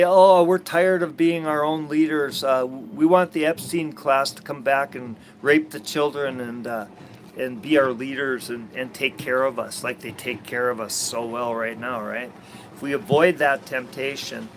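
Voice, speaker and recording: A man from Minnesota with an Upper Midwest American accent, talking casually and haltingly from his sailboat, full of restarts and blunt asides. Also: mid-range voice, a little hiss or hum, poor audio. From Minnesota, USA. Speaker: sailing vlogger. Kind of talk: rambling casual vlog chat. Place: outdoors on a sailboat.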